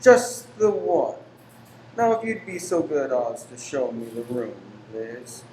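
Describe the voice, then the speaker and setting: An actor in a radio play playing a weary, pious, secretive lodger who is formal, exacting and easily angered. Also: male voice, medium pitch, fairly even intonation, slow pace, mellow, close to an everyday voice. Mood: reserved, exacting. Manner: formal, exacting, abrupt then apologetic. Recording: roomy echo with faint background noise.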